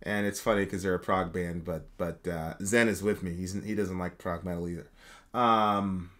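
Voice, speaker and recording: A man from Jamaica, co-hosting a casual live chat, animated and laughing often as he reads comments. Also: deep voice, normal speed. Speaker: co-host.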